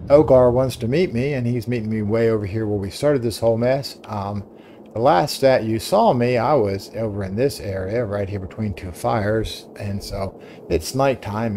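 Man commentating over loud game noise. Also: on the low side. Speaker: gaming video host. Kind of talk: casual game walkthrough commentary. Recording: loud game ambience behind voice.

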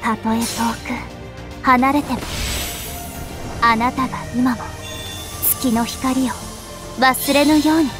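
A woman playing a regal, serene young planetary spirit princess in an anime game, speaking formally and with measured elegance. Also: high voice, steady tone. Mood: gentle, calm. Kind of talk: scripted anime game voice lines. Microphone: studio microphone. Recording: music behind a close dry studio voice.

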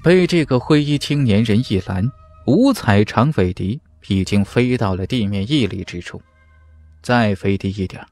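A man's voice: mid-range voice, a little mellow. A man narrating a fantasy martial arts audiobook in a dramatic, scripted style.

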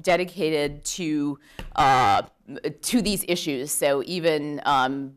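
A woman in her thirties speaks into a podium microphone in a conference room full of attendees. Her voice sits somewhat low, and she lectures in an earnest, measured way, filling pauses with ums as she welcomes the audience. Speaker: law professor opening a conference.

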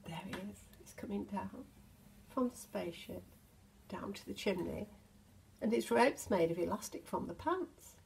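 A British woman in her sixties reads a children's picture book aloud, expressively, with gentle asides addressed to the children. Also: mid-range voice, lively intonation, moderate pace, creaky, a little hiss or hum. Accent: British English. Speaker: storyteller, infant school teacher. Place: indoors in a home hallway.

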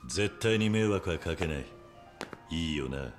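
Stoic middle-aged yakuza type, game drama: very low register, blunt, few words, determined. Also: male voice, expressive, measured pace, over loud music. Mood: firm. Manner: terse, blunt, restrained. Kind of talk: Japanese video game cutscene acting.

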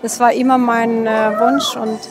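A woman's voice: fairly high pitch, little pitch movement, measured pace. A woman in her thirties from Minsk in Belarus, a musician speaking hesitantly in a casual interview, searching for words. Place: outdoors in the evening. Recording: music behind the voice.

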